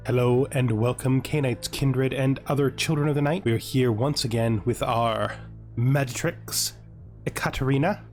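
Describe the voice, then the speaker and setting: A man's voice: mid-range voice, moderate pace, darkish tone. A man giving casual gameplay commentary, chatting and thinking aloud as he hosts. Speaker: host of a gaming series. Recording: music playing behind the voice.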